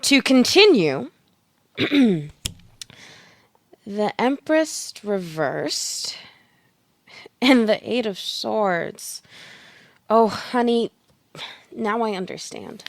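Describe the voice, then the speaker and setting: A woman playing a knowing tarot reader in an audio play, speaking in a measured, deliberate manner. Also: a little high, animated, dry recording, exaggerated voice. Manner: measured, pronouncing readings slowly.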